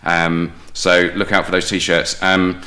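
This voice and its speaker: Low, bright-voiced British man. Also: level tone, slow pace. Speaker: podcast guest, playwright. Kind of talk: casual chat.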